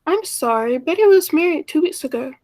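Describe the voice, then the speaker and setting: A woman's voice: high-pitched, expressive, brisk, darkish tone, dry recording, clean studio sound. A woman acting an anxious, romantic schoolteacher in her mid-thirties in a 1940s style radio play, earnest and hesitant. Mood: nervous, apologetic.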